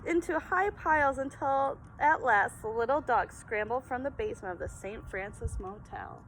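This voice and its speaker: A woman's voice: fairly high pitch, normal speed, a bit bright. A woman presenting for children in a read-aloud and casual demonstration style, with a noisy recording. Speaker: storytime presenter.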